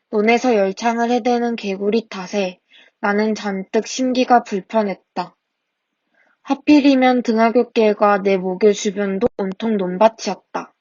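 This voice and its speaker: A woman's voice: medium pitch, dry recording. Young woman reading a reflective essay. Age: 20s. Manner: soft, reflective reading with small self-corrections. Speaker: podcast host reading her essay. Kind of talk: reading a personal essay aloud. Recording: dry, dull lo-fi home mic.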